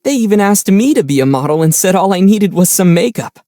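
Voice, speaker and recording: A man voicing a calm young adventurer in a video game, easygoing and measured, with a gently wry, teasing manner. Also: high-pitched, no room sound, clean studio sound. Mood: casual. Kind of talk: video game character dialogue.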